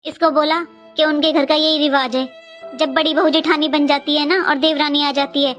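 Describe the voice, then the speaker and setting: A woman's voice: high-pitched, flat delivery, moderate pace, a bit bright, faint music behind. A woman voicing a worried, complaining elderly Indian mother in a cartoon family skit, plaintive and explanatory.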